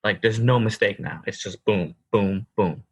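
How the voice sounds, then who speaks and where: A man's voice: lowish voice, normal speed, husky, highs cut off. A man in his thirties co-hosting a casual podcast, emphatic and calling his co-host bro. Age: 30s. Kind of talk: casual chat.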